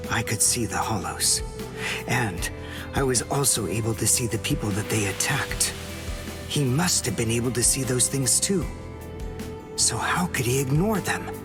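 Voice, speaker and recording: An actor playing an earnest, determined young male warrior in an anime game, speaking in a solemn, measured, intense way. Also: medium pitch, close to an everyday voice. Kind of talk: English-dubbed anime game acting.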